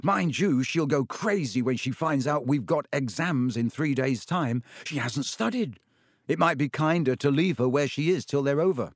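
A man's voice: mid-range voice, animated, normal speed, no room sound. A man voicing a blunt, teasing teenage boy in a dry recording. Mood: cheerful.

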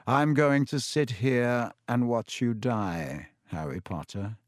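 A man voicing a cold, gloating young dark wizard villain in an audiobook, taunting and menacing with drawn-out words. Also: medium pitch, no echo, studio quality, close to an everyday voice. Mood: gloating, unhurried.

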